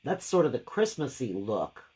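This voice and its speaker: Deep, gravelly-voiced woman painting live. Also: sing-song, moderate pace, warm tone. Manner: chatty, thinks aloud while working. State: lingering gravelly voice after a cold. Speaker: craft painting host. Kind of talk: casual live painting demo.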